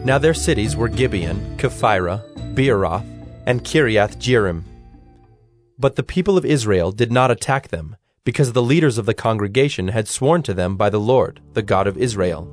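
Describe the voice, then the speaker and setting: A man narrating a dramatized audio Bible as a scripted storyteller, in a measured, solemn manner. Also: fairly low pitch, animated, soft background music.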